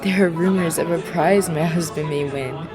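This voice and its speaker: A woman playing a kindly, concerned Victorian wife and hostess in an audio drama, gentle and chatty. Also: sits low, normal speed, music in the background, natural voice. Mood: friendly.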